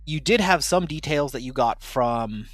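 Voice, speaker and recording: A man's voice: medium pitch, lively intonation, measured pace, no echo. A man narrating as game master in a casual tabletop game podcast, explaining at length with lots of fillers and restarts.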